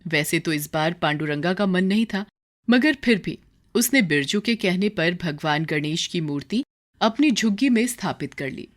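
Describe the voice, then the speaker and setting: A woman narrates a scripted moral tale as a storyteller. Her voice is a little low for a woman, with highly animated intonation that carries the drama. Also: moderate pace.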